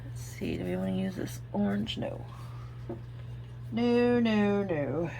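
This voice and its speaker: A woman's voice: mid-range voice, expressive, takes it slowly, gravelly, noisy background. A woman with an Oklahoma accent, chatting her way through a crafting tutorial and thinking aloud as she works. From Oklahoma, United States. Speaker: craft video host.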